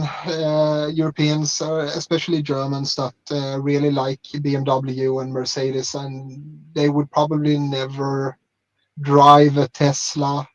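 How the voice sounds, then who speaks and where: Man on a dull call line. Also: on the high side. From Sweden. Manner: blunt, hesitant with many uh's. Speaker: caller. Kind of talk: casual chat. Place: driving a car.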